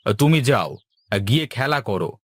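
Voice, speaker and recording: A man's voice: on the low side, big pitch swings, moderate pace, no echo. A man voicing a protective, indulgent middle-aged father in a cartoon story. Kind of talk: cartoon voice acting.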